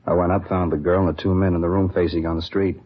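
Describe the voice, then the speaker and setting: A beat officer in a vintage radio crime drama. He talks fast and matter-of-factly in a low, husky voice, guarded and plain. The sound is dull and lo-fi. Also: little pitch movement. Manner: casual, matter-of-fact police slang. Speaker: actor in a radio police drama. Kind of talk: 1950s radio acting, conversational dialogue. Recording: dull, lo-fi old radio recording.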